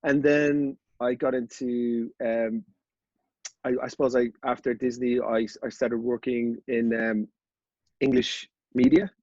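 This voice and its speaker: A man in his thirties with a Dublin Irish accent, a podcast guest telling his story in a relaxed, rambling way with many fillers and restarts. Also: medium pitch, a little slow, dry recording, muffled recording. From Ireland. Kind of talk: casual interview chat.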